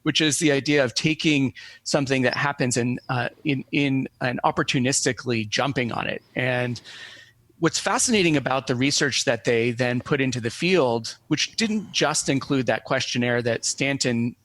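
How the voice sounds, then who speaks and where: A man's voice: mid-range voice, moderate pace, a bit bright. A man speaking as an academic interview guest, explaining things in a scholarly, discursive way with frequent self-corrections.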